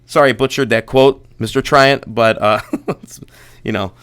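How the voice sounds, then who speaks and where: A man's voice: medium pitch, fairly even intonation, fairly bright tone, clean tone. A young man hosting a casual stock update, rambling and restarting often, congested and losing his voice. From Buffalo, New York area. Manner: rambling, restarts often, reads quotes haltingly. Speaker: stock channel host.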